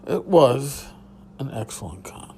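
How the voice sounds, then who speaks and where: A tired man with a hoarse voice, hosting a casual solo podcast from a hotel room and rambling loosely with a bit of swearing. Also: mid-range voice, very animated, takes it slowly, mellow, a little hiss or hum. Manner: rambling, profane, restarts. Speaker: podcast host.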